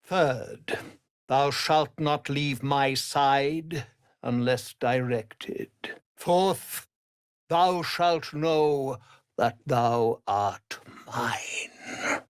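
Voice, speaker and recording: An actor playing a cruel, pompous immortal vampire lord in a fantasy video game, menacing and theatrical, moving between hushed threats and grand declarations. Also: male voice, mid-range voice, extremely slow, clear, resonant tone, no echo, studio quality. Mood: cold command.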